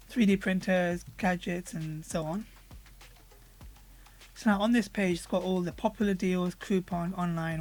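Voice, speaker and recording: A man with a hoarse voice talks through a software demo over his screen recording. He speaks in a relaxed, conversational way, stopping often for ums and uhs as he clicks through each menu. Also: high-pitched. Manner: relaxed, conversational, with frequent fillers. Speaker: product reviewer.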